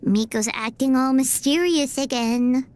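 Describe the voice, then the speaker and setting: A woman voicing a small, childlike floating companion in a video game, squeaky, cheeky and inquisitive, speaking of herself by name. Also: measured pace, darkish tone. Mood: puzzled, curious. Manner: exclamatory, inquisitive, refers to self by name.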